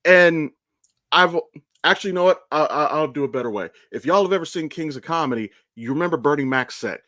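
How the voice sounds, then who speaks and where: A man's voice: a little high, animated, a little fast, pushed and bright, dry recording. Candid, swearing American man chatting, dry sound. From Ohio, United States. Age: 30s. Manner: candid, profane, rambling storytelling. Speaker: podcast host. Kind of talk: casual conversational podcast chat.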